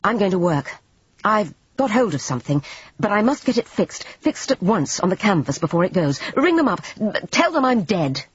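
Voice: medium pitch, sing-song, normal speed, breathy edge, dry recording, pitched above the performer's own voice. A reader doing a proud, furious painter. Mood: impatient. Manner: bitter, impatient, sweeping. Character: proud, touchy male painter, quick to furious anger. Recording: dull lo-fi dry recording.

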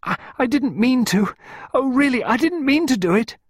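A man's voice: very high-pitched, expressive, moderate pace, breathy edge, no room sound, studio quality, pitched above the performer's own voice, full character voice. A man voicing a frightened young girl in an audiobook story, wailing and pleading in a strangled, tearful voice. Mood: horrified wailing.

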